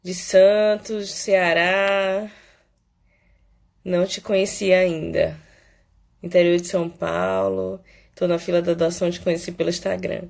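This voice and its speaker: Hoarse, gravelly-voiced woman with a cold. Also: on the low side, little pitch movement, a little mellow. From Salvador, Bahia, Brazil. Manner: chatty, fills pauses with "né" and "é". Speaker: psychologist hosting a live Q&A. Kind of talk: casual live-stream talk to viewers. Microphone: phone.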